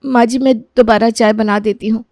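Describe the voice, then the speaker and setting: A woman's voice: fairly high pitch, fairly even intonation, moderate pace, a little mellow, no room sound, studio quality, close to an everyday voice. A woman playing a timid, apologetic young daughter-in-law in a cartoon story, deferential and pleading. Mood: apologetic, hurt. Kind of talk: cartoon moral-story voice acting.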